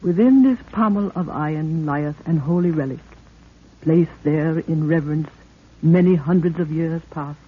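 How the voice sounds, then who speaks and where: A woman's voice: deep voice, normal speed, breathy edge. An actress playing a regal, solemn queen who speaks stately archaic English, in 1930s radio drama style. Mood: grave blessing. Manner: stately, formal archaic speech. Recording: tinny, band-limited 1930s radio recording.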